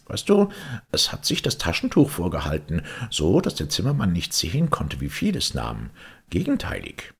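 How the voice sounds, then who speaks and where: A man voices a contrary storybook boy who loves to argue. The husky voice rattles along pedantically, jumping around in pitch. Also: wildly swooping pitch, normal speed, heavily acted. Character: contrary, argumentative boy twin.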